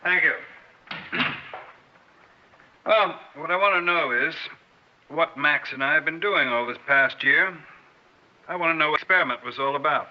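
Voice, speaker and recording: A man's voice: fairly high pitch, normal speed, long pauses, slight background noise, close to an everyday voice. A man playing a stubborn, resentful English instructor in an old-time radio drama, sarcastic and indignant. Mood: tense. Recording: muffled old radio recording.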